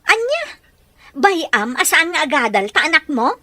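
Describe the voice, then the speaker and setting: An actress playing a blunt, reproachful older sister in a radio drama. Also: female voice, high-pitched, sing-song, measured pace, noisy background.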